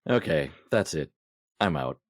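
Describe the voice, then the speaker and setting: A man with a husky voice, playing himself in a comedic audio skit with a wry, skeptical manner. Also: extremely low pitch, animated, a little slow, no room sound, studio quality. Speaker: podcast cast member playing himself.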